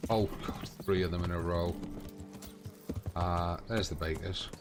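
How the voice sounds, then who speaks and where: Male gameplay commentator: low register, slow casual muttered asides, game music clearly underneath. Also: steady tone. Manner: muttering to himself and the game in short remarks. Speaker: game streamer. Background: game music and horse hoof sounds.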